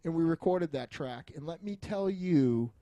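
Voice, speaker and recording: A man's voice: on the high side, animated, moderate pace, dark tone, no echo, lo-fi sound. A man chatting casually as a podcast co-host, rambling and joking with frequent chuckles and some swearing. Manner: jokey, foul-mouthed, rambling, often chuckling.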